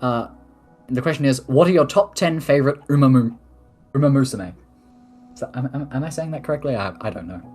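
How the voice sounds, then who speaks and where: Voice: medium pitch, expressive, brisk, pauses often, darkish tone, soft background music. A speaker taking submitted questions in a mock-formal political address, reading official statements with casual asides. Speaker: head of government of an online role-play nation.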